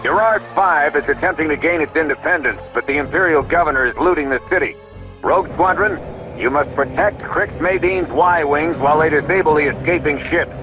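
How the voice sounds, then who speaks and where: A man playing a steady, authoritative rebel general giving measured mission briefings in a video game. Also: fairly high pitch, lightly acted. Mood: serious briefing. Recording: dull lo-fi game audio under music.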